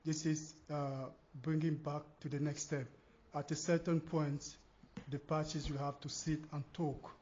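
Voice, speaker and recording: A man's voice: on the high side, little pitch movement, a little slow, darkish tone, a little hiss or hum. A man, asking a question from the floor at a talk with a few restarts. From Cameroon. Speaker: audience member asking a question. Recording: muffled, lo-fi conference recording.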